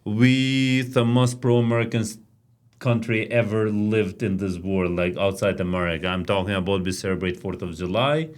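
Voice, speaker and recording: A man's voice: lowish voice, steady tone, normal speed. Enthusiastic man. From Kosovo. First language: Albanian. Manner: enthusiastic, repeats words, non-native phrasing. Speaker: restaurant owner, guest. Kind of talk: casual interview chat.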